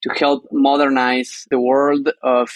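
A man's voice: mid-range voice, level tone, slow, no room sound. A man from Argentina with an Argentine Spanish accent, explaining things as an interview guest. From northern Argentina. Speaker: startup co-founder, interview guest.

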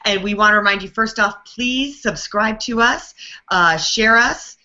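A woman's voice: mid-range voice, measured pace, sharp tone. A woman hosting an interview show, introducing it and putting questions to a guest. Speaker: show host. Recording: dull lo-fi audio.

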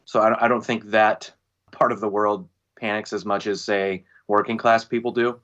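A man co-hosting a podcast over a call, telling stories with animated, joking, mock-indignant banter. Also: fairly low pitch, moderate pace, lo-fi sound. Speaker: podcast co-host. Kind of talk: casual podcast chat. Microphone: remote call mic.

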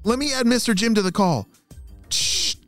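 A man voicing a clever, take-charge young girl in a children's story who talks briskly. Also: very high voice, a bit bright, faint music behind, pitched above the performer's own voice. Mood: excited.

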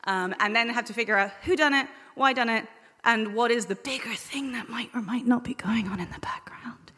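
Bright high-voiced woman in a reverberant hall. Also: brisk, breathy edge. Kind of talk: informal live panel talk. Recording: very echoey hall with background noise.

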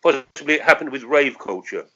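A man in his sixties with a London accent looks back reflectively in an interview. He feels his way through his thoughts hesitantly and doubles back to qualify them. His voice sits at a medium pitch, and the recording is dull and slightly muffled. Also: lively intonation, brisk, bright, forceful tone. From London, England. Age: 60s. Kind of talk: reminiscing in an oral history interview.

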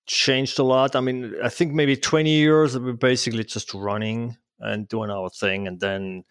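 A man's voice: mid-range voice, fairly even intonation, normal speed, smooth, no echo, studio quality. A man in his fifties from Switzerland with a Swiss accent, a guest travel photographer chatting casually and self-deprecatingly. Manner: self-deprecating, chuckling, with restarts. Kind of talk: casual interview chat.

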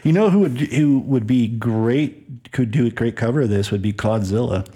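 Mellow-voiced man in casual music talk. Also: mid-range voice, moderate pace, slight background noise. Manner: hesitant, repeats words, agreeable. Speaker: podcast co-host.

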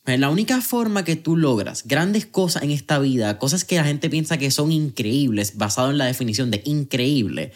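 A man hosting a podcast, talking in a relaxed, conversational and reflective way. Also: medium pitch, normal speed, few pauses. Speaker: podcast host. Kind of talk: casual interview chat.

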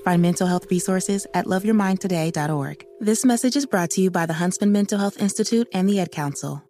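A woman narrating a public service announcement, giving slow, soothing breathing instructions. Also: medium pitch, dark tone, soft background music.

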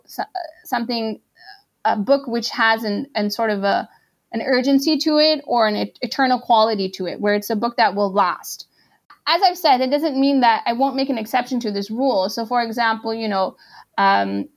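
A woman from Pakistan, a translator guest in an interview, who talks in a chatty, casual way full of fillers. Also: mid-range voice. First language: not Arabic. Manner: chatty, full of "like" and "you know".

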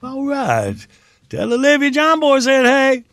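A man's voice: extremely high pitch, wildly swooping pitch, a crowd in the background. A man hosting a morning radio show, joking through the segments and laughing often as he runs a phone-in game. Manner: laughs often, quick teasing delivery. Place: radio studio.

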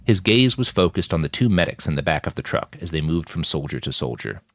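A man narrates a story in a very deep voice. His pitch moves in animated swings as he reads the prose. The sound is dry, with almost no room on it. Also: brisk, no echo. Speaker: author narrating own fiction podcast. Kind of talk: audiobook-style fiction narration.

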